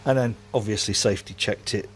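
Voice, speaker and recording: A man's voice: on the low side, steady tone, brisk, slight background noise. A man, rambling casually and self-mockingly while filming his home brewery workshop on a handheld phone.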